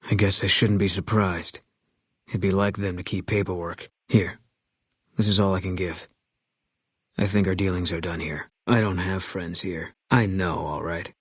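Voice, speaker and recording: Game sniper, grieving ex-soldier, terse and guarded, deep voice, rough breathy tone, middle-aged. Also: male voice, steady tone, moderate pace, no echo, clean studio sound. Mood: grim. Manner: terse, clipped and grim. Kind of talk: video game dialogue.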